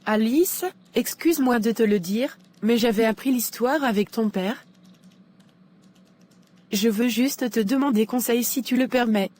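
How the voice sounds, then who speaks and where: A woman's voice: on the high side, unhurried, a little mellow. A woman playing a polite, troubled young single woman who confides hesitantly in a cartoon drama. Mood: troubled, confiding.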